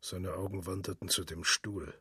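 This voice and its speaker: A man narrating a literary story for radio in a measured, solemn manner. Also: low-pitched, flat delivery, sharp tone, breathy edge, dry recording, clean studio sound.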